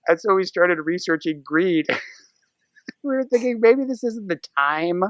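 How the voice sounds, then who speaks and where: A man's voice: sits high, expressive, a little slow, dry recording, lo-fi sound. An American man chatting casually as a podcast guest, rambling and acting out his own thoughts as he goes. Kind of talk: casual podcast interview chat.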